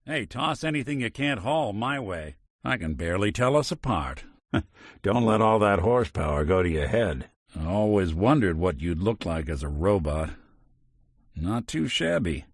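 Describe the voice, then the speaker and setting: An actor voicing a weary synth detective in a video game, a gruff middle-aged man with a dry, wisecracking manner. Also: lowish voice, big pitch swings, moderate pace, no echo. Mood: wry. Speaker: companion character. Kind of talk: game character barks.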